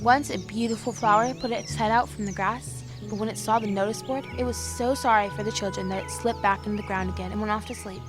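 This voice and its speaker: A gentle young woman, played by an actress, reads a storybook tale aloud over clear background music. Her voice sits at a middle pitch, and her telling is measured and earnest. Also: fairly even intonation, lightly acted. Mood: earnest storytelling. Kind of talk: storybook narration in an audio drama.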